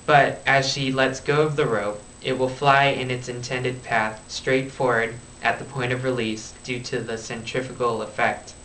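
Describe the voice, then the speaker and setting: A man's voice: mid-range voice, flat delivery, moderate pace, hardly any pauses, fairly bright tone, reverberant, far from the mic. A man giving scripted educational narration as a physics explainer, recorded with amateur, noisy sound.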